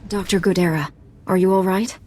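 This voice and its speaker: A woman's voice: medium pitch, steady tone, brisk, a little mellow, lightly acted. Woman playing a firm, steadfast Jedi knight. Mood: firm compassion. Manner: firm, earnest, assured.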